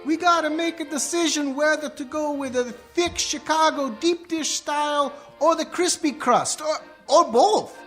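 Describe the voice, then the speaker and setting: Male actor as earnest pizzeria underling, strikingly high voice, perky, flattering, comic radio play. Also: moderate pace, hardly any pauses, soft background music. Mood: placating. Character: eager, earnest pizza parlor worker flattering his boss. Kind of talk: comedic radio play acting.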